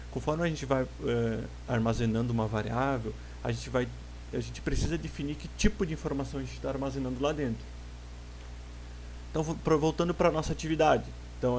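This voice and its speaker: A Brazilian man gives a recorded programming lesson at a middle pitch. He explains slowly and patiently, often restarting his phrases. A faint hum sits under the recording. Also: darkish tone, rough recording. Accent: Brazilian Portuguese. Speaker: programming teacher. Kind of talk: recorded lecture.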